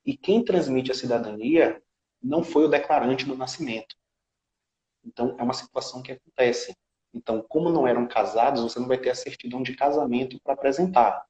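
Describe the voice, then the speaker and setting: Brazilian guy, legal specialist on an interview, calm step by step explaining, lots of little tag questions, kinda muffled audio. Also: medium pitch, brisk, reverberant. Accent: Brazilian Portuguese. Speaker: citizenship law specialist, guest.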